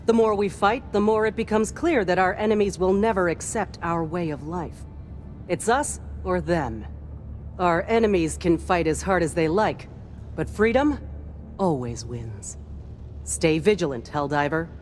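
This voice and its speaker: A woman's voice: on the low side, expressive, unhurried, darkish tone, a little hiss or hum. A woman playing an earnest, devoutly patriotic starship crew chief in a video game, speaking with reverence and a sense of duty. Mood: resolute, patriotic. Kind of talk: scripted video game character lines.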